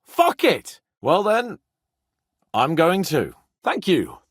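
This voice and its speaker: An actor playing a proud, hot-headed young medieval nobleman in a dramatic video game, with a haughty and expressive delivery. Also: a little high, takes it slowly, breathy edge, no room sound, studio quality, exaggerated voice. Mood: irritated, brash. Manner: haughty, expressive, swearing freely.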